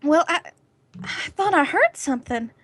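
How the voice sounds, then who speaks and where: A suburban housewife acted by a woman in an amateur radio drama, with a high, very animated voice. She sounds evasive and nervous, hesitating over her words in a dry recording. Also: normal speed, no echo. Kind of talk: amateur radio play reading.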